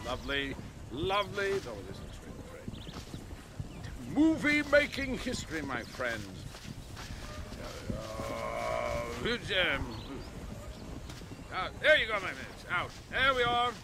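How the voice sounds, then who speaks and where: A man's voice: on the high side, very animated, a little slow, gravelly, background noise, exaggerated voice. An actor playing an eccentric male film director in a video game, speaking in a theatrical, fussy and gushing way. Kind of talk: video game cutscene acting.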